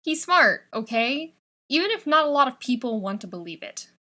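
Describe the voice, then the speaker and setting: A woman reading a piece of fiction aloud as an expressive scripted narration. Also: sits high, normal speed. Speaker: fan fiction reader.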